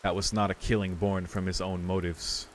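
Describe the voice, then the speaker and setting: A man with a fairly low voice reads a visual novel aloud in the casual way of a streamer. Rain from the game and slight noise sit behind his voice. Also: flat delivery, moderate pace. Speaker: video game streamer reading along.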